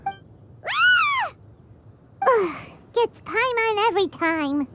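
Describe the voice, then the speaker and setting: A woman voicing a small, childlike, excitable fairy companion with a squeaky voice in an anime-style video game. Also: extremely slow, heavy background noise, exaggerated voice. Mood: flustered. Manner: speaks of herself in third person, exclaiming.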